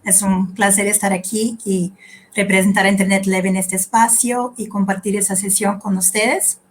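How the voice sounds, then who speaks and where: A woman from Brazil, giving a measured, prepared talk as a panelist in a language that is not her one. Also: medium pitch, flat delivery, a little hiss or hum. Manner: careful, measured delivery in a second foreign language. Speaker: panelist, researcher. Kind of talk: prepared conference presentation.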